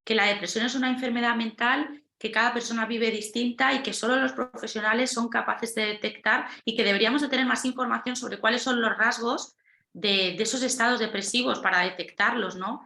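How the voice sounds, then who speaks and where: A woman's voice: fairly high pitch, vocal fry, pushed and bright, reverberant. A woman on a webinar panel explaining her points, often closing them with a questioning tag.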